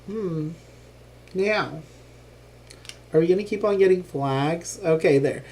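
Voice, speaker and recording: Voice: medium pitch, slow, a little mellow. A streamer casually talking through a live board game playthrough, thinking aloud with frequent ums and a lingering cough after being ill.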